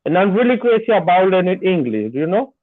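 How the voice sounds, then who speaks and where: A Colombian man, a native Spanish speaker with a Colombian Spanish accent, joining as a guest caller, eager and halting, often repeating words. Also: sits high, big pitch swings. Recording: tinny phone-like call line.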